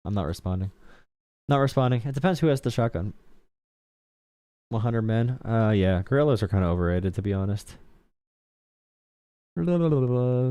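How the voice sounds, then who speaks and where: A young man hosting a casual political livestream with callers, slangy and quick to mock his chat. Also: lowish voice, warm tone, smooth, dry recording. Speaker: livestream host.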